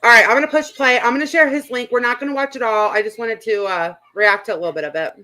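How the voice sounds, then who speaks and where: A woman's voice: fairly high pitch, fast talker, bright, forceful tone. A woman hosting a live stream, chatting casually in a blunt, sarcastic way. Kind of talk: casual reaction chat.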